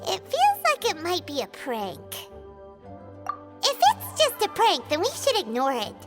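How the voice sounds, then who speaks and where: A woman voicing a tiny, childlike floating fairy companion in a video game, squeaky, cheeky and opinionated, exclaiming and explaining. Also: huge pitch swings, unhurried, music in the background, exaggerated voice. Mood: skeptical. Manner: speaks of self in third person, exclamatory, explanatory. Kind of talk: video game character voice acting.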